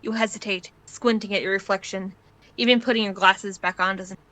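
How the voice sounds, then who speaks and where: A woman's voice: mid-range voice, steady tone, brisk, a bit bright, no echo, low-quality recording. A woman reading scripted second-person fan fiction aloud as the narrator.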